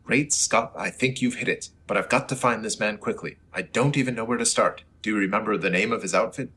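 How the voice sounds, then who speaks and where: A man playing an earnest, brisk, courteous male reporter with a husky voice, in old-time radio hero style. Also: lowish voice, sharp tone. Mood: urgent.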